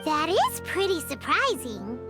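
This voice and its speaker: An actress voicing a tiny, chirpy fairy companion with a squeaky childlike voice in a video game. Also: female voice, huge pitch swings, a little slow, exaggerated voice. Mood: perky, curious. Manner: refers to self in third person, cute and perky. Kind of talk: English video game dub voice acting. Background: music.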